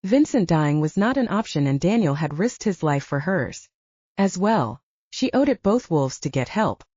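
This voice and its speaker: A woman's voice: lowish voice, sing-song, normal speed, warm tone, no echo. A woman working as an audiobook narrator, reading fiction in a dramatic, scripted style. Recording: dull, band-limited audio.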